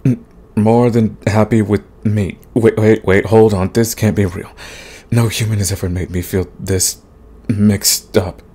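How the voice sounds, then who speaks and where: A man playing a big, grumpy but soft himbo demon in a romantic comedy audio roleplay, husky and stammering in protest. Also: lowish voice, fairly even intonation, a little slow. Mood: flustered, softening. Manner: grumbling, sighing, stammering protests. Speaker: voice actor.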